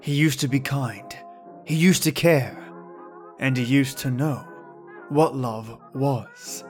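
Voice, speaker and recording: A man's voice: medium pitch, slow pace, a little mellow, soft background music. A man hosting a podcast, presenting scripted introductions in a theatrical, playful manner. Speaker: podcast host. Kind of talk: scripted introduction to old-time radio episodes.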